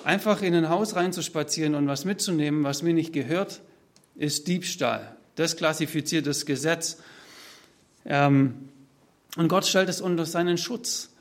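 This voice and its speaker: A man's voice: on the high side, a little slow. A man preaching a sermon, explaining step by step and speaking freely to his listeners. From Swabia, southern Germany. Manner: explanatory, structured, addresses listeners directly. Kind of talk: sermon, spoken freely from notes to a congregation.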